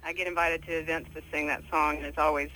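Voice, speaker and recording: A woman singer talking as a radio guest, modest and soft-spoken, laughing lightly and using many "you know" fillers. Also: sits low, little pitch movement, quick pace, crowd noise, poor audio. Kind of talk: casual radio interview.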